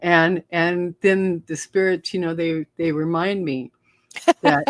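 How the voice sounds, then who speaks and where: A woman's voice: on the low side, fairly even intonation, slow pace. A seventy-year-old woman chatting casually and affectionately as co-host of a live stream.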